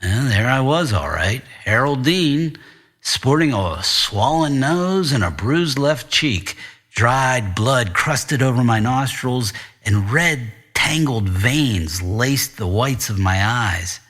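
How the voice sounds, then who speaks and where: A man's voice: medium pitch, lively intonation, takes it slowly, fairly bright tone. A man narrating a noir story as a confused, self-mocking tenth-grade boy, in a conversational, sardonic way. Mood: wry. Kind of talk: first-person noir audiobook narration.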